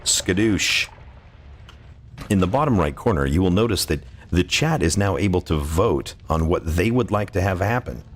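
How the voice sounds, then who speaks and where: A man's voice: deep voice, lively intonation, moderate pace, slight background noise. A man livestreaming a game, chatting casually and playfully with his viewers, effusive and prone to repeating himself. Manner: effusive, playful, repeats phrases, goofy asides. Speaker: livestreamer playing a game and greeting chat.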